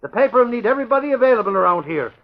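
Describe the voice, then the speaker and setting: An actor playing a brash male newspaper reporter in 1940s radio drama, brisk and bossy. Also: extremely high pitch, very animated, creaky, exaggerated voice. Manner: brisk, bossy newsroom talk. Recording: muffled old radio broadcast.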